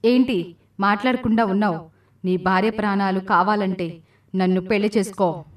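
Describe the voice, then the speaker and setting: A woman's voice: medium pitch, steady tone, normal speed, distant mic, close to an everyday voice. A cursed demon woman from a magical storybook tale, acted by a woman, heard slightly away from the microphone. She speaks in a commanding, theatrical way, as one used to ruling a village by fear and burdened by a curse. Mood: menacing, demanding. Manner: commanding, threatening delivery. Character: cursed watermelon demoness demanding marriage, menacing then remorseful. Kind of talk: dramatic storybook voice acting.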